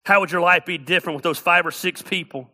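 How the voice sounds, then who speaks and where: A man's voice: high-pitched, steady tone, fast, creaky, sharp tone. A middle-aged man preaching a sermon as pastor, emphatic and repeating key phrases for effect.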